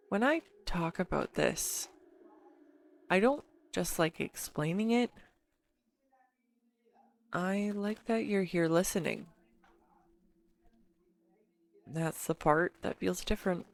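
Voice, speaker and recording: A woman playing an earnest, bashful, talkative girlfriend in an intimate audio roleplay, speaking straight to the listener in a halting, teasing manner. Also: on the low side, lively intonation, gravelly, exaggerated voice. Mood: shy, affectionate.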